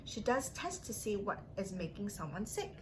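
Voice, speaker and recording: A woman reading and teaching for young children as a story-time host, in simple, drawn-out phrases addressed to the kids, on a noisy recording. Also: medium pitch, sing-song. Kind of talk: read-aloud narration and playful teaching to young children.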